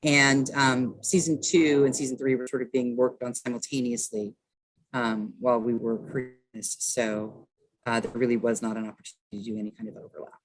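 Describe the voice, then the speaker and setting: A woman's voice: a bass voice, expressive, brisk, low-quality recording. A woman chats as an author guest on a podcast, sitting outdoors on a call. Her delivery is conversational and explanatory.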